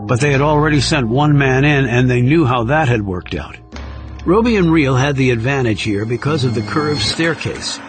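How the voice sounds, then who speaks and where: A male narrator reads a thriller audiobook in a mid-range voice. The delivery is scripted storytelling, with clearly audible music underneath. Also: medium pitch. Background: music under the reading.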